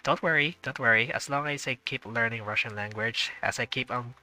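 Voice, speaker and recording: A man's voice: medium pitch, a little fast, sharp tone. A man streaming a visual novel, reading the narration aloud and adding chatty, hesitant asides. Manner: chatty asides, hesitant with fillers when unsure. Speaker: gaming streamer.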